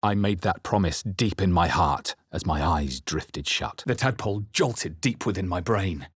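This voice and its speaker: A man playing a wary, resolute fantasy adventurer in video game voice lines, with a measured, theatrical delivery. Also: deep voice, lively intonation, slightly rough, dry recording, studio quality, heavily acted. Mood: brooding, grave narration. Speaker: player character voice. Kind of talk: scripted video game voice lines, dramatic narration.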